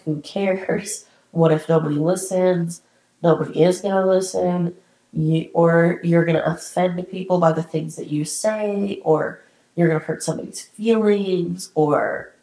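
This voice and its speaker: Woman host, candid and encouraging, slightly congested, low-ish voice, roomy echo. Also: mellow. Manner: candid, encouraging, casual swearing. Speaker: podcast host. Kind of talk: solo reflective podcast talk.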